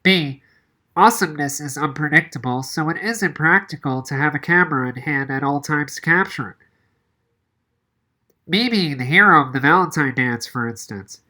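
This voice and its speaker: A woman's voice: low voice, moderate pace, bright, forceful tone. A woman reading a children's novel aloud as narration. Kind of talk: audiobook-style read-aloud narration.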